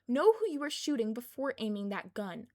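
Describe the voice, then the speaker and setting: A woman's voice: a little high, very animated, moderate pace, a little mellow. A woman voicing a brusque, protective woman soldier who scolds a boy in a bossy way, in a fan fiction audiobook. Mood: exasperated, scolding.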